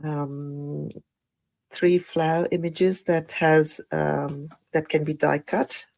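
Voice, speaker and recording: A woman with a croaky voice after the flu, chatting through a live card-making tutorial with many fillers and apologies. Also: low voice, fairly even intonation, takes it slowly, a little mellow. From Australia. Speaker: craft demonstrator.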